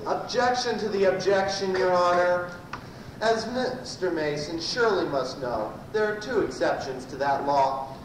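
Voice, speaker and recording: Man playing badgering courtroom lawyer, slightly high voice, stumbling delivery, radio parody, noisy room recording. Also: measured pace. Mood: forceful. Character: pompous, badgering male prosecuting lawyer in sci-fi parody. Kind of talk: amateur old-time radio comedy acting. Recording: roomy, distant, noisy amateur live recording.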